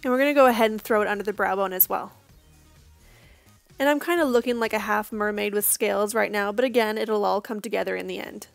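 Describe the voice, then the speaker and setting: A young woman, hoarse from losing her voice, giving a casual step-by-step makeup tutorial voice-over. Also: medium pitch, quick pace, no echo, soft background music. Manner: casual, chatty, reassuring.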